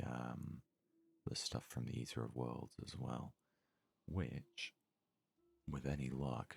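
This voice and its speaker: A man doing hushed ASMR gaming commentary with a gravelly, husky voice, casually musing aloud close to the microphone. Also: rumbling low, dry recording. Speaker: let's play host.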